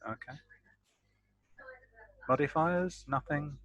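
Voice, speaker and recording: A man's voice: on the high side, slow pace, fairly bright tone, no room sound, low-quality recording. A man playing a tabletop game, talking casually with the other players.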